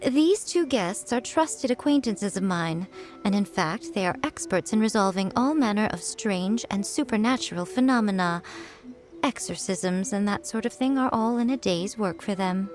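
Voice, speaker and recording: A woman voicing a sly, teasing shrine priestess in a video game, amused and unhurried. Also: medium pitch, animated, lightly acted.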